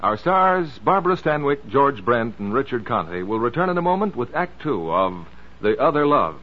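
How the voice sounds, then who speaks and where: A man's voice: medium pitch, very animated, moderate pace, background noise. A man working as a radio announcer, delivering scripted announcements and promotional chat in 1940s style. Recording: dull 1940s radio recording.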